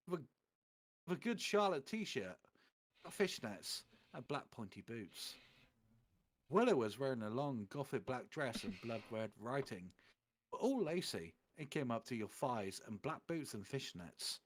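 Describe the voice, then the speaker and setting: A man's voice: mid-range voice, sing-song, a little slow. A man doing a theatrical, comedic reading of amateur fan fiction, putting on voices for the quotes.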